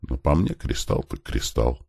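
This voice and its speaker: A man's voice: extremely low pitch, wildly swooping pitch, a little slow, gravelly, darkish tone, husky. A man reading a fiction audiobook, narrating a scripted first-person story with lightly voiced dialogue.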